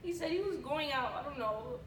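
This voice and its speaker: Woman doing a scared young woman, pleading, high voice, faraway, echoey. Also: brisk. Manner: pleading, hushed and shaky. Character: frightened young woman fleeing abusive partner. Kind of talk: amateur role-play scene. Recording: distant voice in roomy, noisy room.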